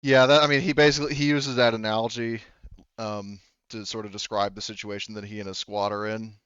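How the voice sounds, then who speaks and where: Man, game master, smooth clear voice, improvised casual narration, informal and sweary, dry slightly lo-fi recording. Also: on the low side, little pitch movement, brisk, a bit bright, no echo. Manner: informal, profane, relays characters' replies in reported speech.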